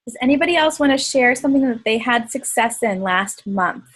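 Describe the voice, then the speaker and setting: Woman, fairly high voice, upbeat team leader on a video call, praising people, quick asides. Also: animated, fairly bright tone, breathy edge. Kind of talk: encouraging team call chat.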